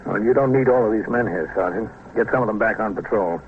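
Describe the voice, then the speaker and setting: A businesslike police captain played by a man narrates in a fairly low, measured, official voice. The vintage radio drama recording is narrow and tinny with faint hiss. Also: natural voice. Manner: measured, official, matter-of-fact. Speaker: narrator and lead.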